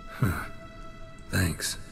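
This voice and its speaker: A man acting a gruff, world-weary monster hunter in a video game, with a gravelly voice and a terse, sardonic, deadpan delivery. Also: extremely slow. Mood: dry, terse. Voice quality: gravelly, low. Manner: laconic, dry, deadpan. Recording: game dialogue over clear background music.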